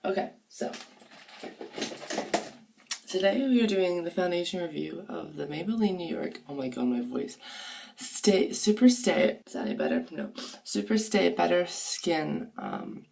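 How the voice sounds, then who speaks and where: A woman's voice: on the low side, sing-song, a little slow, vocal fry, darkish tone, a little hiss or hum. A woman with a groggy, sore-throated voice, chatting casually through a makeup review in her bathroom, rambling and sometimes dropping to near-whispers. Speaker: beauty vlogger. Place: home bathroom. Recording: voice a bit far from the mic.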